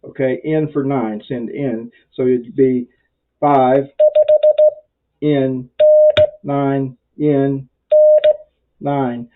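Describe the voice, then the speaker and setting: An American man with a mid-pitched voice explains things as an informal instructor. His delivery is conversational and wandering, with a self-deprecating streak. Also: level tone, drawn-out words, long pauses, phone-quality sound. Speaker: amateur radio instructor. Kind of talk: informal spoken tutorial.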